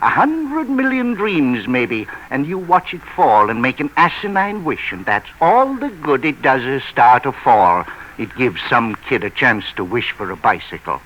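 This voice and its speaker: Boozy sidekick, American, florid, wry, animated pitch, sharp bright tone, 1940s radio drama. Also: male voice, a little slow, dull audio. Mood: mock-tragic, florid. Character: boozy, theatrical, philosophizing middle-aged male sidekick.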